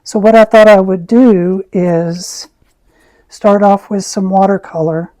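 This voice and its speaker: A woman with a raspy voice, who often clears her throat as she chats through an art tutorial. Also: mid-range voice, fairly even intonation, no room sound. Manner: slow, soft-spoken, frequent throat clearing. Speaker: art channel host. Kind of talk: casual art tutorial chat.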